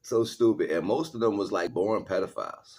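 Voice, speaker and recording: A man's voice: lowish voice, fairly quick, a little mellow. A man speaking casually to livestream viewers like a street preacher, in heavy slang, repeating phrases for emphasis. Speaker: livestream host and spiritual teacher.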